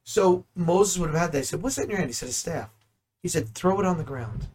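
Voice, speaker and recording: A man in ministry teaching the Bible in conversation, animated and full of rhetorical questions. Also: sits high, fast talker, breathy edge. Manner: animated, asks rhetorical questions, acts out dialogue.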